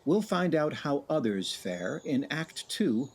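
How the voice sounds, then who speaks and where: A man narrating and hosting a community radio play in a measured, wry storytelling manner. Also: on the high side, very animated, slightly rough. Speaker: narrator and show host. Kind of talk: scripted radio drama narration.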